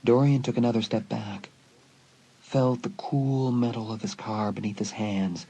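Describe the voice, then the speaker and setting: A man narrating a dramatised horror audiobook with suspenseful, deliberate phrasing. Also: lowish voice, fairly even intonation, dark tone, a little hiss or hum, rough recording.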